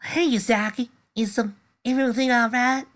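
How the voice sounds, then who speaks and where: A woman voicing a caring teenage girl with a Southern US accent, speaking in a gentle, worried way. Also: a little high, fairly even intonation, moderate pace, voice pushed up, close to an everyday voice. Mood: concerned.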